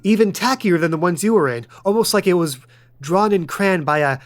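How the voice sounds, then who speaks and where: A man's voice: sits high, expressive, a little fast, faint music behind. A man hosting a podcast as game master, with casual narration in a tabletop game style.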